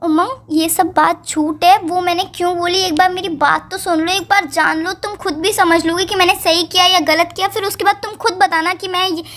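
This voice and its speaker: A woman plays a young girlfriend in a romantic phone-call drama, speaking very high and quickly. She is exasperated and defensive, insistently pleading to be heard in casual, colloquial words. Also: steady tone, fairly bright tone. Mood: pleading, explaining.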